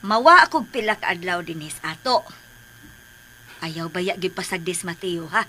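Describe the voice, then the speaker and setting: A woman playing an earnest middle-aged mother in a radio drama, speaking with breathy emotional emphasis. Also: fairly low pitch, expressive, moderate pace, pushed and bright, slight background noise. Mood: instructing. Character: earnest, pleading middle-aged mother, protective of her sons.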